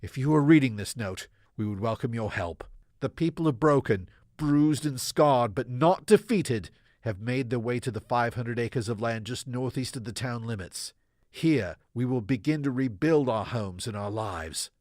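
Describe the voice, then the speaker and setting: A man playing a solemn, hopeful townsman reading out a heartfelt letter in a radio drama, measured and eloquent. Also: medium pitch, lively intonation, darkish tone, no room sound, clean studio sound, close to an everyday voice. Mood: resolute, hopeful.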